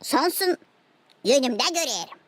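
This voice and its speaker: High, dry-voiced curious young girl. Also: sing-song, measured pace, dry recording. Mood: earnest, curious. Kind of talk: animated cartoon dialogue.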